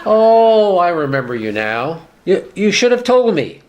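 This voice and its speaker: A man's voice: high voice, big pitch swings, a little slow, darkish tone. A man playing a forgetful, genial law-firm boss in a radio sitcom, hesitating and repeating himself. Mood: befuddled, genial. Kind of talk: sitcom-style radio play dialogue.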